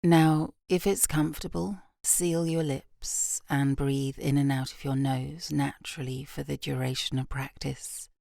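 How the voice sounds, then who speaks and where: A British woman guiding a yoga nidra meditation in a soft, gentle, unhurried voice with long pauses, breathy at phrase ends. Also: very deep voice, fairly even intonation, dry recording, studio quality. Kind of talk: guided meditation, slow and soothing.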